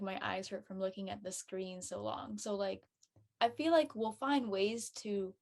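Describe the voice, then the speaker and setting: Female panelist, mid-pitched, casual and reflective, offers opinions tentatively. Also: a little mellow.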